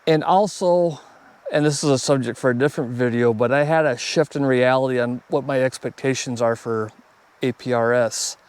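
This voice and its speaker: A man's voice: mid-range voice, steady tone, moderate pace, a little mellow, clear, resonant tone. A man talking casually and off the cuff as a hobbyist video host reviewing gear, plainspoken and conversational. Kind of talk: casual unscripted product review.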